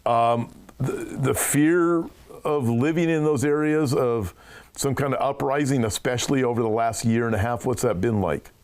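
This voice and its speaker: A man's voice: mid-range voice, moderate pace, darkish tone, clear, resonant tone. A man, a pastor hosting a show, asking long earnest questions full of fillers. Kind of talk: interview conversation.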